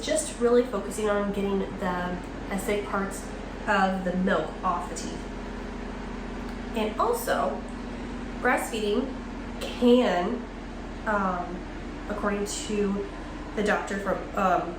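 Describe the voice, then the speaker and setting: In an echoey room with loud background noise, a young woman talks casually to camera. She explains things informally and a bit haltingly, as a vlogger working from notes, and sounds like she is getting over a cold. Her pitch is average for a woman. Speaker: dental hygienist vlogger. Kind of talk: casual educational vlog talk to camera.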